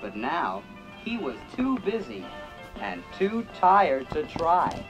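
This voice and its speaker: Slow, animated read-along narrator. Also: medium pitch, low-quality recording. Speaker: storybook narrator. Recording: 1980s read-along record with funky music. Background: funky 80s music track.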